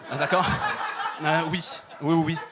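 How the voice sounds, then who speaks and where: Young man, stand-up comic, deadpan, lots of fillers, club mic. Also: fairly high pitch, noisy background. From Moroccan family. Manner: deadpan, halting with many fillers. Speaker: stand-up comedian. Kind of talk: stand-up set. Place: comedy club stage.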